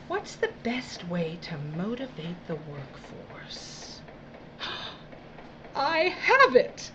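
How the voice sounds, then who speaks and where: A woman's voice: fairly high pitch, a bit bright, highs cut off, slight background noise, exaggerated voice. An actress playing a domineering, sadistic female boss in an audio drama, with a condescending, mock-sweet, imperious drawl. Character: domineering, theatrical, sadistic female boss of dystopian work crews. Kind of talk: theatrical audio drama acting.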